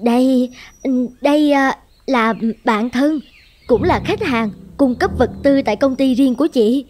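A woman voicing an anxious, scheming adulterous wife in an animated drama, polite and pleading. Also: sits high, measured pace, a little hiss or hum. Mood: feigned casualness. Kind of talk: Vietnamese animated drama dubbing.

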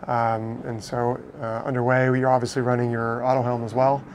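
A man's voice: medium pitch, level tone, fairly quick, darkish tone, slight background noise, rough recording. A man in his thirties giving an enthusiastic, explanatory tour of his catamaran, talking casually with frequent restarts, recorded on a lapel mic on board. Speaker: boat owner giving a tour. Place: inside a catamaran saloon, docked.

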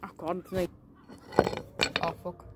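A slow, rambling voice in a middling feminine pitch gives casual, crude commentary. The delivery is jokey and sweary, muttered into a handheld phone outdoors, with clear noise on the recording. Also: wildly swooping pitch. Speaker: amateur video maker. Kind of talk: casual rambling commentary while smashing a toy. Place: outdoors on pavement beside a brick wall. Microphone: handheld phone close to the speaker.

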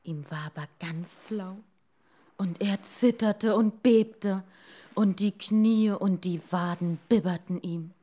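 A woman reading a bedtime fairy tale as a dramatic storyteller, sometimes hushed and deliberate. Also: mid-range voice.

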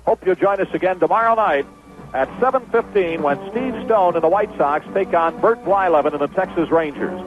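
A man's voice: high voice, animated, a little fast, music in the background. A man working as a baseball announcer, giving a 1970s radio wrap-up of scores and sponsor credits. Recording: dull lo-fi 1970s radio broadcast.

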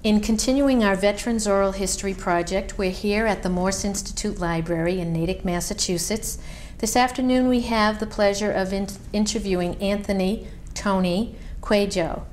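A woman interviewing a veteran for an oral history project, asking polite, measured questions. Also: medium pitch, a little mellow, a little hiss or hum. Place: library room.

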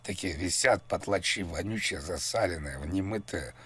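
A man making a rambling prank call, mock-serious and crude, with a husky voice. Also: low voice, normal speed, fairly bright tone. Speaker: prank caller.

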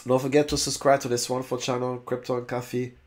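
A man's voice: medium pitch, level tone, quick pace. A man in his forties whose first language is French, reading a website aloud and chatting about it at his desk, often stumbling and restarting on long words. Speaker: crypto YouTuber. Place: indoors, webcam overlay on screen share.

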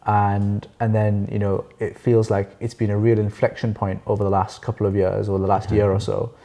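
A man's voice: on the low side, monotone, normal speed, dark tone. A man in his thirties hosting an interview, asking questions in an easygoing, reactive way from a sofa in a living room. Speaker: interview host. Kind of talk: casual interview chat. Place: living room of a furnished house, seated on a sofa.

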